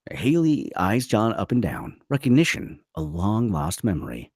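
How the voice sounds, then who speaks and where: A man's voice: fairly low pitch, wildly swooping pitch, normal speed, a little mellow, husky, no echo, clean studio sound. A man narrating screenplay stage directions dramatically at a table read.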